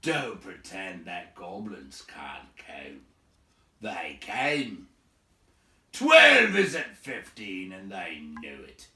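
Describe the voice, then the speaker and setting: A man voicing a gruff, growling, impatient big man, a grudging host in a storybook, with emphatic, drawn-out phrasing. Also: sits low, big pitch swings, pushed and bright, faint music behind, full character voice. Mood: fierce, indignant. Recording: roomy echo, distant from mic.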